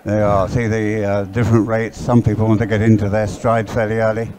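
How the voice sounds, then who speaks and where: A man giving live race commentary, explaining things in a halting way. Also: lowish voice, flat delivery, a little mellow, a little hiss or hum. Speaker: sports commentator.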